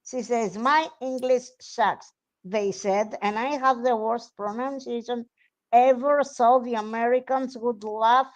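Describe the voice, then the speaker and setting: A woman from Greece with a Greek accent, hosting a live stream interview in English with a slow, halting, friendly delivery. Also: on the high side, steady tone. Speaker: radio host.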